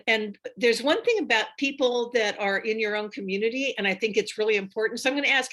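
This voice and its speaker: A woman's voice: mid-range voice, a bit bright. A woman giving an enthusiastic, chatty workshop talk over a video call, with frequent fillers. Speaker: workshop presenter.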